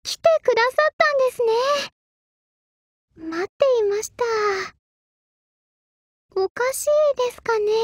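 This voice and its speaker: A gentle young sword-spirit maiden from a mobile game, with a very high voice and unhurried pace. She speaks with formal courtesy and patient devotion, in a close, dry recording. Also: no room sound, full character voice. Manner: soft-spoken politeness, formal speech. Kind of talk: Japanese mobile game character voice lines.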